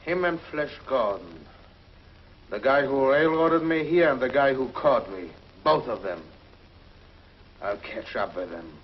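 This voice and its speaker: A man's voice: mid-range voice, animated, measured pace, long pauses, lightly acted. An actor playing a sullen, vengeful male convict in a 1950s TV drama, heard on a dull lo-fi soundtrack. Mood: bitter. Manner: sullen, grudging. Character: bitter vengeful male convict in a space prison.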